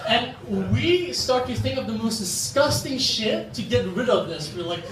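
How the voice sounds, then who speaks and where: A young man from Dubai performing stand-up comedy at an open mic in an animated manner, recorded in an echoey, noisy room from far off. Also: very high-pitched, normal speed. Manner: animated, acts out short quoted exchanges. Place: open mic comedy venue in front of an audience.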